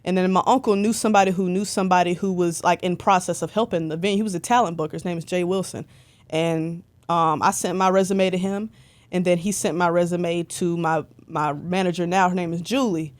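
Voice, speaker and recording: A woman's voice: fairly low pitch, fairly quick. A young woman in casual podcast conversation, telling stories with lots of "like" and playful bursts of energy. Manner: chatty, animated storytelling with lots of "like". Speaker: podcast guest, concert photographer. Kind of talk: casual interview chat.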